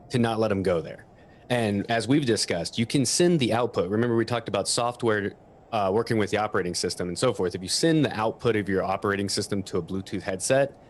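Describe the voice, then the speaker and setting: Low-pitched man explaining tech, noisy background. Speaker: audio equipment expert. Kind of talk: informal technical explanation to an online class. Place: at a desk in a room. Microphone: stationary desk microphone.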